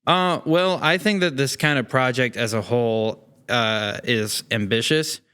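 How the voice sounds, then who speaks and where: A man's voice: medium pitch, fairly even intonation, a little slow, sharp tone, smooth. A man chatting casually as a podcast guest, rambling along with plenty of "you know" and "like". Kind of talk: casual chat.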